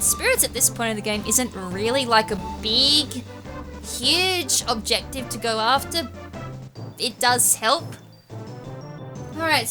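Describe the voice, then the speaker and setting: Higher-voiced woman commentating over game music. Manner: occasional stutter. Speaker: gaming YouTuber, series host. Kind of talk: casual video game walkthrough commentary.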